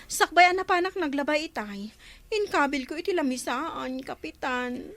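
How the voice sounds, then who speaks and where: A woman's voice: sits high, expressive, moderate pace, a bit bright, no echo, faint music behind. A woman playing a distressed, anxious housewife in a comedy drama, speaking in a plaintive, exclaiming way. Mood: pleading.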